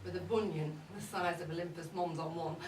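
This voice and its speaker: A woman's voice: fairly low pitch, steady tone, brisk, lightly acted. A woman playing a cheeky, boozy older mother-in-law, gossiping in a wry, bawdy, confiding comic monologue. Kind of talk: comic dramatic monologue read to a live audience. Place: indoor live event at a pub. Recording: roomy, distant live recording with audience noise. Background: audience crowd.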